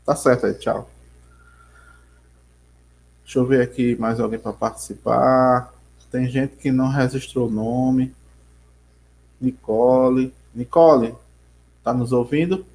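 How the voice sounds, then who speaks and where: A man's voice: medium pitch, steady tone, slow pace, pauses often, darkish tone. A man teaching an online geography class with a Rio Grande do Norte accent, rambling and restarting his sentences as he calls on students over a video call. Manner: rambling, restarts often, addresses students by name. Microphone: computer mic on video call.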